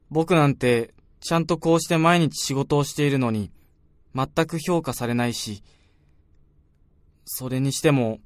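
Radio drama supporting role: jealous younger coworker, polite digs, higher-leaning voice, dry studio sound. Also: male voice, a bit bright, no echo. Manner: polite but snide. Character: envious, needling junior male research colleague.

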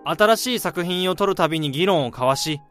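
A young man acting in a radio drama as a steady, caring friend, speaking in a measured, reflective way. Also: fairly high pitch. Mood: nostalgic. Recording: music and crowd sounds behind the voice. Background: music and crowd sounds.

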